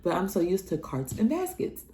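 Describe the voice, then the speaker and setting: A woman's voice: normal speed, background noise. A young woman from Nebraska telling personal stories in a casual vlog, acting out the dialogue with big jumps in her voice. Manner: animated, acts out dialogue with big pitch jumps. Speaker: vlogger.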